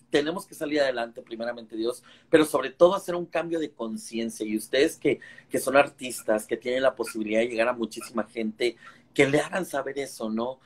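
A man's voice: medium pitch, husky. A man in his thirties talks earnestly over a phone video call. He lectures a little, filling his sentences with hesitations and restarts. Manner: earnest, preachy, many fillers and restarts. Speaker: guest on a live stream. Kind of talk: casual video call chat.

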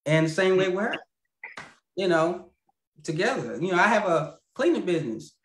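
A man's voice: sits high, very animated, normal speed. Man with echoey video-call voice. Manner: rambling, laughs often, trails off quietly. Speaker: podcast guest, puppeteer. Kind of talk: casual interview chat over video call. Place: indoor home room with lamp. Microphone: video call, webcam.

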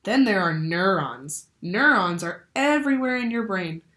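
A woman's voice: mid-range voice, expressive, a little slow, a bit bright. A young woman reading a children's picture book aloud like a teacher, expressively, asking the listeners questions. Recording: roomy indoor echo.

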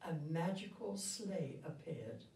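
An elderly woman reading a storybook aloud to children in a gentle, grandmotherly and expressive way. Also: low voice, slow pace, gravelly. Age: 70s or older. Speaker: retirement village resident reading a story. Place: indoor room with festive backdrop. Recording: roomy sound, distant microphone.

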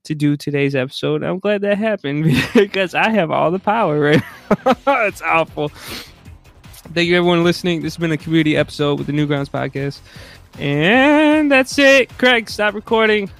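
A man's voice: a little high, lively intonation, moderate pace, creaky, fairly bright tone, faint music behind. A man hosting a casual online podcast in a jokey, playful way. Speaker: podcast host.

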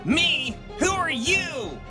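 Woman as an excitable, high-voiced young hedgehog groom. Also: extremely slow, over music, full character voice. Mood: indignant. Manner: excitable, indignant. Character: frantic, lovestruck young male hedgehog groom. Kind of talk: fan comic dub.

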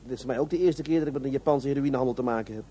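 An actor playing an easygoing but concerned police adjutant in a radio play. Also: male voice, medium pitch, rapid-fire, warm tone, slight background noise, lightly acted.

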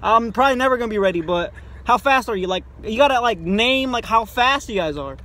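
A man's voice: very high-pitched, expressive, brisk, fairly bright tone. A young man pranking drive-thru workers from inside a car, recorded on a phone held close. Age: young adult. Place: inside a parked car at night. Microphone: phone held close in front. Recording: car interior with faint noise.

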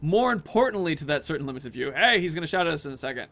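A man's voice: a little high, very animated, racing, sharp tone. A man in his thirties giving casual commentary as the host of a gaming video.

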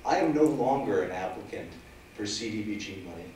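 A middle-aged man giving public comment at a hearing, forceful and emphatic, standing and gesturing as he speaks. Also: lowish voice, lively intonation, a little fast, a little hiss or hum. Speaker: legal aid director giving public comment. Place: council chamber. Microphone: standing away from desk mic. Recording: echoey chamber, far from mic.

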